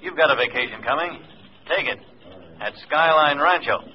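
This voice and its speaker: A man's voice: fairly high pitch, animated, moderate pace, loud music behind the voice, close to an everyday voice. An actor playing a firm male agent's handler, terse and urgent, in 1950s radio drama style. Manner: terse, urgent, matter-of-fact. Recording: muffled old radio recording, faint noise.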